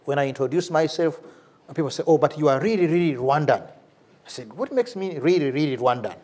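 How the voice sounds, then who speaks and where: A middle-aged man with a Ugandan accent, speaking off the cuff as a lecturer, animated and repeating words for emphasis. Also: fairly high pitch, brisk. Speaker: lecturer, theology professor and priest. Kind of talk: lecture with off-the-cuff answer to a question. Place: large university lecture hall, at a lectern.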